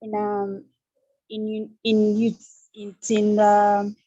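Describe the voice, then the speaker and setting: A young woman from Uganda with a Ugandan accent, a student speaking on a panel, hesitant with many pauses and restarts. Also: mid-range voice, monotone, mellow, husky, no echo, muffled recording. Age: young adult. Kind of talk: online panel discussion.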